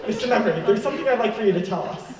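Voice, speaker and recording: A man acting in a radio play as a brisk, insistent man who has been wrongly accused. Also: high-pitched, very animated, crowd noise. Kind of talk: stage radio drama acting. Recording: echoey hall from far off, faint noise.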